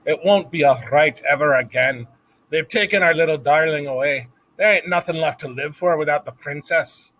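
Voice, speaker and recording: A man's voice: normal speed, fairly bright tone, rough recording. A man voicing a distraught female cook who wails and sobs. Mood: wailing. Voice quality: high, wailing, sobbing.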